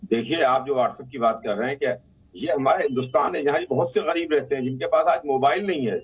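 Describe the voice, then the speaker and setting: Fast, husky male cleric debating. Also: medium pitch, highs cut off. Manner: insistent, repeats phrases. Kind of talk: argumentative debate talk.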